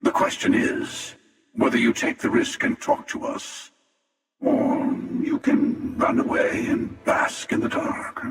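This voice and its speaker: A man voicing a jaded, menacing, world-weary older space hunter for a video game, with a gravelly, sardonic and deliberate delivery. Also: very high-pitched, huge pitch swings, heavily acted. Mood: measured, sardonic. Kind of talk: video game character voice lines. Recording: cavernous echo and long reverb, distant.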